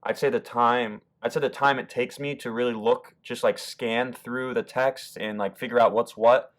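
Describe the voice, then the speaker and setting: A man's voice: mid-range voice, fairly even intonation, normal speed. An American man explaining song lyrics in a loose, rambling, casual way, often restarting his sentences. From United States. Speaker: YouTuber explaining German rap lyrics.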